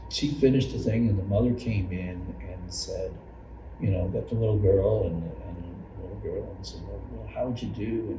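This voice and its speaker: An older man with a very deep voice reminisces about his years as a volunteer teacher. He speaks haltingly, circling back over phrases. The recording is roomy and distant, with clear background noise. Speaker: longtime church member and Sunday school teacher. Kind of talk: personal reminiscence in an oral history interview.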